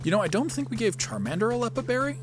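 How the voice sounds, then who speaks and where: A man's voice: very high voice, very animated, racing, over music. A man doing casual gameplay commentary as a video host, rambling with self-aware asides. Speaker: gaming video host and amateur voice actor.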